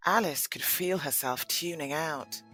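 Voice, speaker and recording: A woman's voice: deep voice, unhurried, music in the background. A woman narrating a scripted children's story for an audio play.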